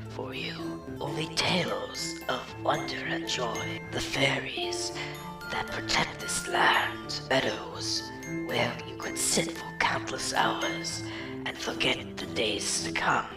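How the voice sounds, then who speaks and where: A woman voicing a kindly, wistful forest guardian in a cartoon, telling tales in a soft, breathy, foreboding way. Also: a bass voice, heavily acted. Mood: serene, welcoming. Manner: soft, breathy, unhurried storytelling. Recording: roomy echo under loud music.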